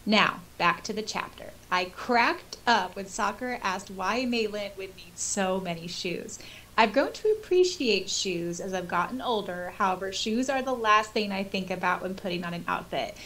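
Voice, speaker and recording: A woman in her thirties with an American accent, co-hosting a podcast in a casual, animated, chatty way. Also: mid-range voice, normal speed, low-quality recording. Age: 30s. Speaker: podcast co-host. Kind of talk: casual chat, reading out and reacting to listener comments. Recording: clear background noise with hiss.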